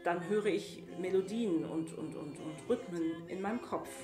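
Lower-voiced woman reflecting in a roomy space. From Yaoundé, Cameroon. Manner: searching, halting phrasing with repeated words. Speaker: musician and singer being interviewed. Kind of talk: reflective interview answers. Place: small bright room indoors, seated by a table. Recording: roomy echo, music underneath.

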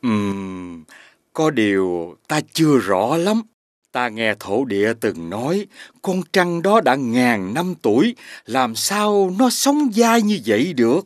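A male actor playing a wise, kindly old household god with a measured, musing manner in an animated fairy tale. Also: mid-range voice, no echo, clean studio sound. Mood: kindly, curious. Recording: music under the voice.

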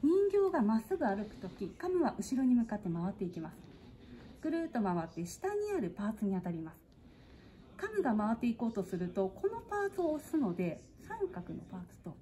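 A woman's voice: on the high side, big pitch swings, fairly quick, warm tone. A woman museum guide giving a polite, patient demonstration to a visitor, explaining step by step in a formal way and asking the visitor questions along the way. She is recorded on a visitor's phone inside a museum hall. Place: indoor museum exhibition hall. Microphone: phone camera on a gimbal held by a visitor. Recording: phone recording with clear hall background noise.

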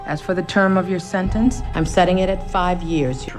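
A woman playing a stern, low-voiced judge. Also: fairly even intonation, normal speed, natural voice. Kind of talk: film dialogue. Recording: film audio with music.

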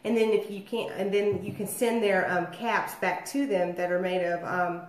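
A woman's voice: mid-range voice, fairly even intonation, normal speed. A woman in her thirties talks her way loosely through a home livestream, full of fillers and asides. She is getting over a cold, so her voice is a bit nasal and phlegmy. It is picked up by a phone across the table in an echoey dining room. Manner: rambling and chatty, many fillers. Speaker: host of a home cocktail livestream. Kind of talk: casual livestream chat. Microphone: phone or webcam across the table.

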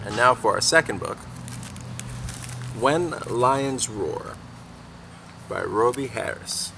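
A young man reading a children's picture book aloud as a teacher, expressively and deliberately, pausing between phrases, recorded outdoors a little way from the camera. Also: mid-range voice, gravelly. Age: young adult. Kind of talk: children's story read-aloud. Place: outdoors in a fenced backyard garden. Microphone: camera a few feet away. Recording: faint steady outdoor background noise.

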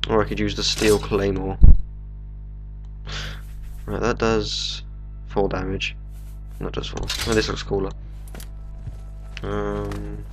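A man's voice: fairly low pitch, monotone, takes it slowly, long pauses. A young man with a cold, chatting casually through a let's play of a game, swearing now and then. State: bunged up with a cold. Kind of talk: casual gameplay commentary. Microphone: desktop microphone. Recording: game music playing underneath.

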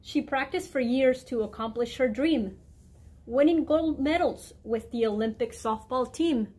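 A middle-aged woman reading a children's picture book aloud in a clear, deliberate storytime way in a library room. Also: high voice, a little mellow. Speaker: guest reader, mayor. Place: indoor library room with bookshelves.

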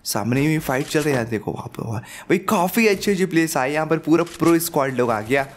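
A young man with a sore throat giving excited live commentary over his own mobile battle royale match, hyping up his kills and laughing often, speaking into a headset at home. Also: a little high, a little fast, slight background noise. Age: young adult. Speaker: gaming YouTuber. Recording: game gunfire behind.

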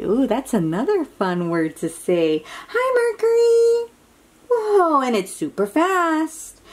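A woman reading a picture book aloud to young children, expressive and full of exclamations, drawing out words and dropping into hushed asides as she asks simple questions. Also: a little high. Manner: talks directly to young listeners with simple questions. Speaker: children's read-aloud host.

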